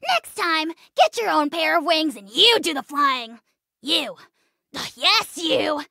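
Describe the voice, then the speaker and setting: A woman's voice: high voice, very animated, slow, creaky, bright, forceful tone, dry recording, clean studio sound, heavily acted. A woman voicing a small, self-important dragon lord in a video game, pompous, petulant and theatrically taunting. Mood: grumbling, bossy. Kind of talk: video game character voice acting.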